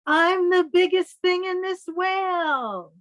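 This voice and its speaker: Woman voicing a slow, boastful giant squid. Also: very high-pitched, fairly bright tone, no room sound, voice pushed up, exaggerated voice. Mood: triumphant. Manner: slow, bragging delivery. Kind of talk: children's picture-book read-aloud.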